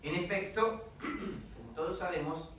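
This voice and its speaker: A man with a foreign accent gives an academic lecture, pausing often with fillers and restarting phrases. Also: high-pitched, fairly bright tone, slight background noise. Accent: foreign accent in Spanish. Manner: hesitant, many fillers and restarts. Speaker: guest lecturer. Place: lecture hall. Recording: echoey hall, mic from a distance.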